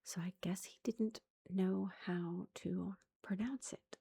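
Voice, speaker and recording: A woman in her thirties, a mother, telling a story in a soft whisper, chatty and amused. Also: on the low side, fairly even intonation, slow, gravelly, a little hiss or hum.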